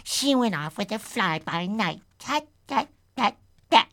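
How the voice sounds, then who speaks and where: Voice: mid-range voice, expressive, a little slow, fairly bright tone, voice pushed up. A woman voicing a fussy, disapproving storybook spider, muttering and tutting.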